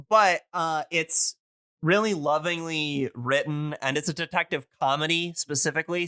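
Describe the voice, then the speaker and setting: A man's voice: mid-range voice, sharp tone, clear, resonant tone, dry recording, clean studio sound. A man in a casual podcast chat, explaining things enthusiastically and in a discursive, meandering way.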